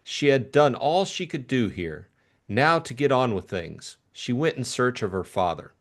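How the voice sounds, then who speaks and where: Casting: male narrator for classic fiction, mid-pitched, very varied intonation, scripted storytelling. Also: big pitch swings, a little slow. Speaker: audiobook narrator.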